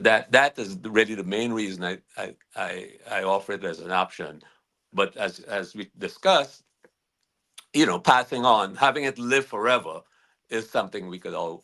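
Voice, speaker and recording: A man from Guyana who is a guest attorney, explaining legal matters in measured, halting answers with many restarts. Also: fairly low pitch, a bit bright.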